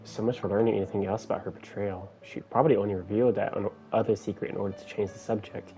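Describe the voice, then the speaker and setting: A woman's voice: rumbling low, fairly even intonation, quick pace, warm tone, faint music behind. A woman streaming a video game, giving casual, musing, informal reactions as she plays. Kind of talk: casual reactions and commentary during gameplay.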